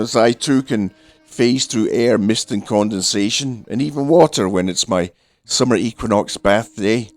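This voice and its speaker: A man delivering a scripted satirical film review in a dry, deadpan way. He has a cold, so his voice sounds nasal and throaty. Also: mid-range voice, dry recording.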